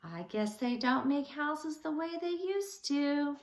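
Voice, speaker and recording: A bemused storybook homeowner, a grown man, acted out by a woman, marvels emphatically. The voice runs high with big swings in pitch and echoes in the room. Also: lively intonation, slow, full character voice.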